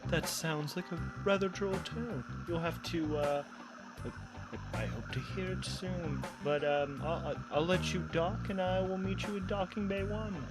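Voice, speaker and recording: Man voicing a flustered male ship engineer, higher-pitched, stammering, droll, quaintly formal, audio drama, loud music behind. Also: measured pace. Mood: hesitant, politely amused. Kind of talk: audio drama character acting. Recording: reverberant hall sound under loud music.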